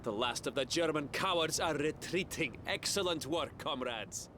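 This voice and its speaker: An actor playing a tough, dutiful Soviet field officer in a war game, reporting with military urgency. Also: male voice, on the high side, lively intonation, normal speed, noisy background, natural voice. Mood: determined. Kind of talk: scripted war game dialogue.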